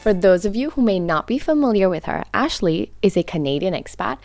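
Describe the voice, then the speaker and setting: A woman hosting a podcast, giving an expressive, playful introduction and then interviewing a guest. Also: mid-range voice, brisk, no room sound, clean studio sound, faint music behind. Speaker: podcast host.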